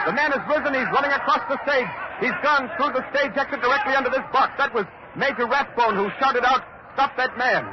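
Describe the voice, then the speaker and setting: Dull noisy old radio sound, American live commentator, descriptive, theatrical 1940s radio drama delivery. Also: male voice, extremely high pitch. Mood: urgent, alarmed. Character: male radio reporter narrating from a theater, hushed then urgent.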